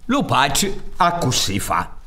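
A man's voice: a little high, huge pitch swings, takes it slowly, pushed and bright. A man in his sixties with a Sicilian accent, telling a folk tale dramatically on a theatre stage. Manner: dramatic, gesturing, with rhetorical questions. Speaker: storyteller and director. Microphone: studio mic on a stand.

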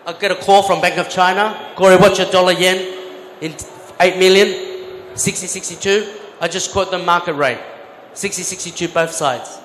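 A man's voice: high voice, fairly even intonation, moderate pace, a bit bright, a little hiss or hum. Chatty man on an echoey stage. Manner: chatty, self-correcting, explains with examples. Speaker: conference speaker, former bank trader. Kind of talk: live seminar talk with interpreter. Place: large expo hall stage. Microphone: handheld microphone. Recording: echoey hall with faint ambience.

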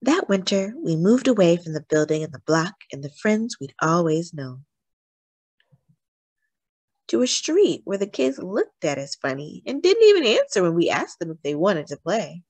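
Female storybook reader, slightly low pitch, highly expressive and deliberate, dry recording. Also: breathy edge, dry recording. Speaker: story reader, host of a read-aloud channel. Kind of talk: expressive children's picture book read-aloud.

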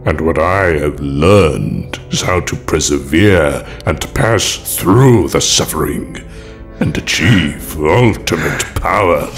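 A man playing an elegant, sinister older dark lord in an audio drama, speaking in a measured, formal, menacing and coldly persuasive way. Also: very deep voice, expressive, exaggerated voice. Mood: grandiose, menacing. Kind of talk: fan audio drama acting. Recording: music behind the voice.

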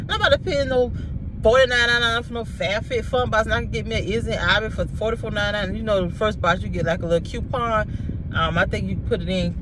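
A woman, chatting casually and jokingly to her viewers from her car. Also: medium pitch. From South Carolina, USA. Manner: animated, joking, talks to herself aloud. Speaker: vlogger. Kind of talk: casual chat. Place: parked car, indoors. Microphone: phone on the dashboard. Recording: distant phone mic, loud car noise.

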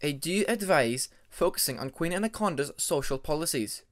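Formal, sincere female ice dragon, played by a male narrator. Measured delivery, lifted pitch, fantasy audiobook. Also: animated, natural voice.

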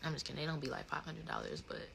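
A young American woman with a bright, slightly low voice chats on a livestream. She is slangy, playful and animated, talking close to a phone indoors with faint noise behind her. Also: very fast, vocal fry. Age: 20s. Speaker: livestream host. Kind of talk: casual livestream chat. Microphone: phone at close range.

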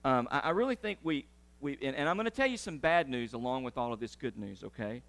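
Middle-aged man, a pastor, animated pulpit delivery, mid-pitched, church sanctuary. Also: fast, creaky. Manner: animated, gesturing while pacing the stage. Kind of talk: sermon with church announcements.

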